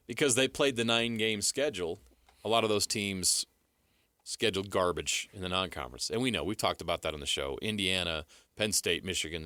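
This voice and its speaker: A man's voice: low-pitched, bright, forceful tone, dry recording. An American man hosting a sports talk show, discussing and arguing with emphasis and rhetorical questions.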